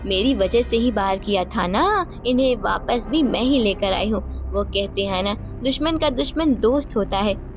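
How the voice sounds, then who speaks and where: A woman voicing a scheming, vengeful queen in a cartoon moral story, accusing and theatrical in manner. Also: mid-range voice. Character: vengeful, scheming young queen, cold and mocking. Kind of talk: dramatic Hindi moral-story cartoon dialogue. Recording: loud music bed behind voice.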